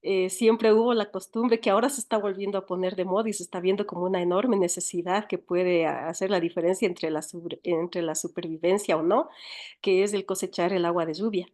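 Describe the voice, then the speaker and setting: A woman's voice: fairly low pitch, steady tone, a little fast, darkish tone. A woman with an Ecuadorian accent talking reflectively as an interview guest, searching for words and repeating them as she tells her story. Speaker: writer and teacher, interview guest.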